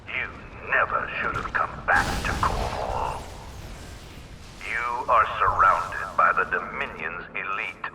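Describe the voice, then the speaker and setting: An actor playing an arrogant, defiant older emperor in a video game, pompous and oratorical. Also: male voice, deep voice, lively intonation, takes it slowly, bright, forceful tone, slight background noise, heavily acted. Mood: contemptuous, angry.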